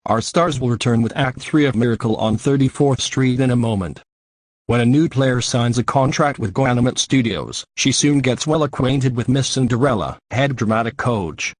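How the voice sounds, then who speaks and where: A man's voice: medium pitch, normal speed, no echo. A man reading scripted announcements in the style of an old radio announcer.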